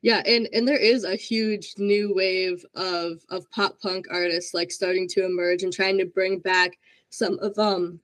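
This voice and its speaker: A woman's voice: mid-range voice, fairly even intonation, unhurried. A woman hosting a podcast interview, upbeat and enthusiastic, praising her guest often. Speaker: podcast host. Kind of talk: casual interview chat.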